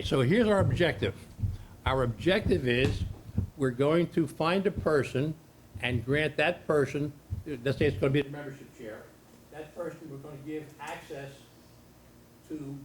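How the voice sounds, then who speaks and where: Loud-voiced man teaching a workshop, echoey room. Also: medium pitch. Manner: loud, repetitive, plain-spoken instructor. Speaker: workshop presenter. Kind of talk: training workshop presentation. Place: meeting room with an audience. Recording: echoey room, distant mic, noisy.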